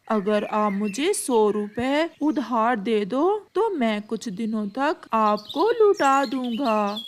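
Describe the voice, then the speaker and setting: A woman's voice: medium pitch, a little hiss or hum. A woman voicing a poor, shy male pigeon father in a cartoon, humble and pleading.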